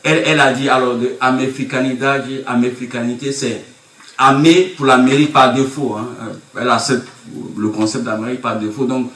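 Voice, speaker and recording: A man's voice: medium pitch, steady tone, brisk, a bit bright, a little hiss or hum. A middle-aged man giving an academic conference lecture, emphatic and rhetorical, repeating key phrases as he speaks at a table mic. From Côte d'Ivoire. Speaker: university lecturer. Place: conference room with projector screen. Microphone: table mic on stand, recorded by far camera. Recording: echoey room from far off.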